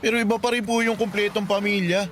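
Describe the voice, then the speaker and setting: A man's voice: high voice, steady tone, fast, a bit bright, a little hiss or hum. A man playing a hurt young son in an emotional Filipino radio drama, speaking hesitantly and pleadingly. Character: hurt, pleading teenage son confronting his runaway mother. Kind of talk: Tagalog radio drama acting.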